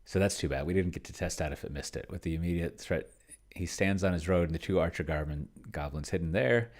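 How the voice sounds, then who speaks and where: A man with a very low, gravelly voice gives casual, think-aloud game commentary. He speaks haltingly, half muttering to himself as he works through things and types. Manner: self-described pedantic. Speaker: solo tabletop player and video host.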